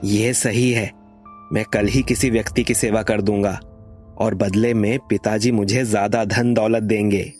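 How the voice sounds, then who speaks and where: A man's voice: on the low side, little pitch movement, a little slow, a little mellow, faint music behind, close to an everyday voice. A man voicing a greedy, resentful younger son in a moral story, speaking insistently. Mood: self-satisfied. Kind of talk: acted moral story dialogue.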